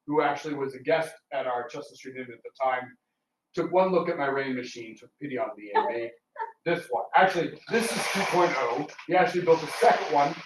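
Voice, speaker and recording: A man chatting casually to an audience as a theatre performer, telling anecdotes in a rambling way. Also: fairly high pitch. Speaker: radio theatre performer giving a public talk. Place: indoor public talk room. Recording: roomy, distant mic with background noise.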